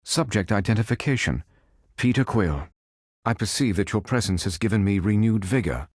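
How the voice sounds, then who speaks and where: A man playing a calm, noble android superhero in a video game, speaking in formal, precise, stilted robotic phrases. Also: on the low side, normal speed, slightly rough, dry recording, clean studio sound. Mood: measured, composed. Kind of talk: video game character voice lines.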